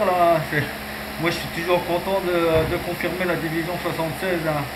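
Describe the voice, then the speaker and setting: Man on echoey, noisy radio chat. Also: fairly high pitch. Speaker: amateur radio operator. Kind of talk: casual radio contact chat.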